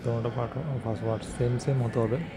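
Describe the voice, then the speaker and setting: A man's voice: medium pitch, fairly even intonation, fast talker. A man giving an unscripted how-to as an installer, mixing English technical terms into plain instructions. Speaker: installer presenting a how-to video. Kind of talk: unscripted tutorial narration. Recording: loud ambient and vehicle-like noise.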